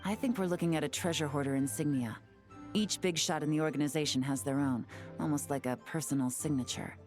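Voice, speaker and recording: A woman's voice: low voice, a little mellow, faint music behind, lightly acted. A woman playing a poised, shrewd investigator in a video game, speaking with composed, confident polish. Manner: composed, measured, coolly confident. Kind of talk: video game character voice acting.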